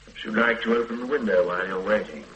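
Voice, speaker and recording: A man's voice: on the low side, fast, a bit bright, lo-fi sound, a little hiss or hum, lightly acted. A man playing a dry, sardonic senior police officer in a radio drama. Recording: roomy radio drama recording.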